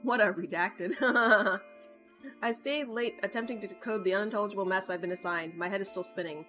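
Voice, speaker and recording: A woman reading a visual novel aloud with casual, playful, wry asides, in an expressive manner. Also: mid-range voice, fairly quick. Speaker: gaming video host. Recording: background music behind the voice. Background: music.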